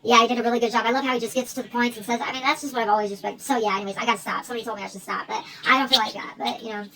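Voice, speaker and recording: Fast, high-voiced rambling American woman. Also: fairly even intonation, pushed and bright, poor audio. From United States. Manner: rambling, informal, jumps between asides, swears casually. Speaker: online video creator staging a phone call. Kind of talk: rambling one-sided phone chat to camera.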